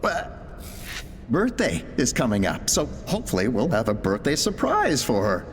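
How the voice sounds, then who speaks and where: An actor playing a solemn, ruthless male researcher dictating experiment logs in a video game, grave and deliberate. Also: medium pitch, huge pitch swings. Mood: measured. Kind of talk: dramatic log narration in a video game. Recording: long reverberant hall, faint noise.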